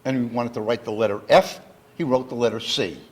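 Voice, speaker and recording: A man with a husky, slightly rough voice gives an explanatory lecture. He speaks at a middle pitch, laying out definitions deliberately and one step at a time, like an engineer teaching a general audience. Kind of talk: educational public lecture, explanatory. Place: talk given live to an audience.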